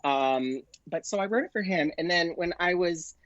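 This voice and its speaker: A man's voice: a little high, normal speed, fairly bright tone, no echo, dull audio. A man speaking as a performer guest in a casual interview, telling anecdotes in a chatty way.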